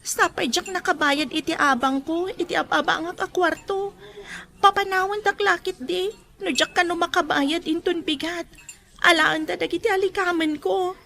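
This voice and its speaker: An actress playing a distressed young waitress pleading for help in an emotional Filipino radio drama. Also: female voice, extremely high pitch, fairly even intonation, moderate pace, gravelly, pushed and bright, heavily acted. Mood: tearful.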